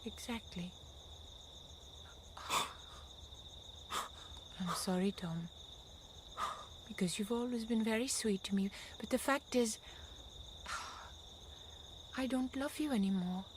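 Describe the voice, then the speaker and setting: A woman's voice: a little high, a little slow, pauses often, noisy background, close to an everyday voice. An actress playing an earnest young wife and mother who is resolved to break free of her marriage in a radio drama. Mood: calm, resolute.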